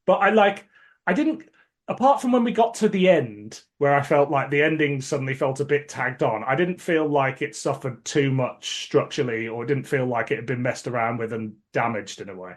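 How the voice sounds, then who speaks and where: A man's voice: mid-range voice, moderate pace. A man hosting a podcast, giving background in a casual, informative and explanatory way. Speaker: podcast host. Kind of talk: casual, informative review chat.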